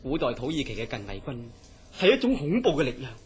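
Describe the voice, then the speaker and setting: A man's voice: mid-range voice, huge pitch swings, fast. A man acting a troubled, obsessed dreamer in a horror radio drama, speaking Hong Kong Cantonese in an earnest, dramatic way. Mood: fearful, fixated.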